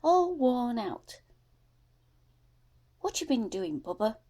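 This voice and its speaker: A woman's voice: fairly high pitch, sing-song, slow, mellow, voice pushed up, heavily acted. A woman voicing a concerned, fussing mother in an audiobook, with an insistent, questioning manner. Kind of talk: acted audiobook dialogue.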